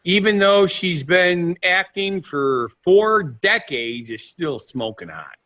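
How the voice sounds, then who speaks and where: A male podcast co-host chatting casually, playful and a bit rambling. His voice sits fairly high and bright. His pitch swings widely as he talks. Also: big pitch swings, slow pace. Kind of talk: casual chat.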